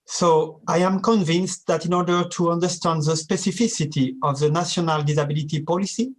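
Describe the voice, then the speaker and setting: A man presenting a seminar paper in careful, deliberate, non-native English. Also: fairly high pitch. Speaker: academic presenter. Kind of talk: reading a conference paper.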